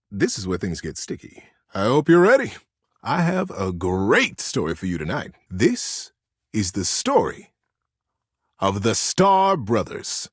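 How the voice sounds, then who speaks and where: A man voicing a gentle, earnest male teddy bear in a video game, telling bedtime stories in a soothing, expressive way with dramatic pauses. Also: medium pitch, husky, no room sound, studio quality, heavily acted. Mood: warm, affectionate.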